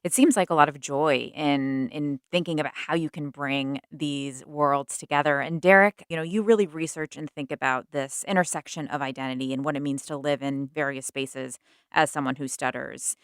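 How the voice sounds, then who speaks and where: Low-pitched female host, dry close studio sound, thoughtful interviewer. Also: fairly even intonation, normal speed, clean tone, no echo. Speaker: podcast host. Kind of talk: interviewing and narrating.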